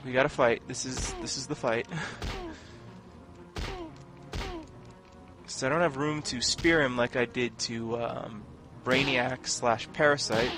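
A man's voice: mid-range voice, steady tone, normal speed, few pauses. A man giving casual, wryly sarcastic commentary as he plays through a video game. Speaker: video game let's player. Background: video game music and sound effects.

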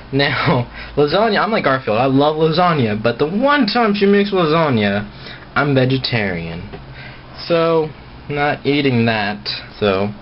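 A young man talking casually to a handheld camera as he vlogs, recorded at arm's length. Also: medium pitch. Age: young adult. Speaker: vlogger. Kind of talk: casual vlog talk to camera. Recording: muffled, noisy handheld camera audio.

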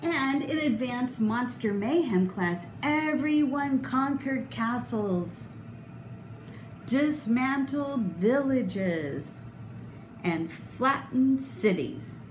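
A woman in her fifties reading aloud to children expressively, with deliberate, dramatic emphasis. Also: high voice, animated. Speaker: storybook reader. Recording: roomy, noticeable background noise.

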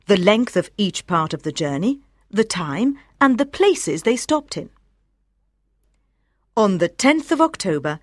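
Animated woman narrating an audiobook. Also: mid-range voice, dry recording, clean studio sound. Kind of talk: scripted story narration for language learners.

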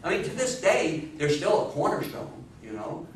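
A middle-aged or older American man lectures informally to a room in a slightly high voice. He speaks emphatically, posing questions as he goes. The sound is very reverberant and picked up from a distant camera microphone. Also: very animated, normal speed. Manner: emphatic, asks rhetorical questions. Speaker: lecturer on radio history. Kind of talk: informal public history talk. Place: small indoor meeting room with seated audience. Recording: echoey room, far microphone, faint hiss.

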